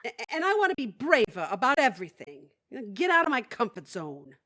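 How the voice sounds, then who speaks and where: A woman's voice: fairly high pitch, sing-song, a little fast, pushed and bright, dry recording, clean studio sound. An actress playing a plain-spoken, wry, hesitant woman who longs to be braver, in an audio play. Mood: hesitant, wistful. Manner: folksy, candid, wry. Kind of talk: audio theatre acting.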